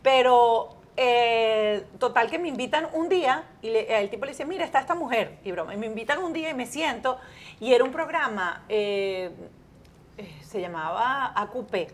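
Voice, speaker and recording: A woman's voice: on the high side, expressive, moderate pace. A Venezuelan woman, a television presenter, chatting in an interview and telling stories animatedly, with frequent restarts and fillers. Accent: Venezuelan Spanish. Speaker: guest, TV presenter. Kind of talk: casual interview chat.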